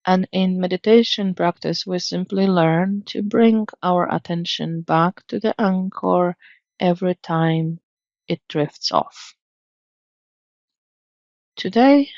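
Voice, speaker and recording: A woman leads a guided meditation at a very unhurried pace. Her voice has a noticeable creak and a gentle, soothing delivery. The recording is dry and close, with a slightly muffled tone. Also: fairly low pitch, no echo. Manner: soothing, gentle, measured instruction.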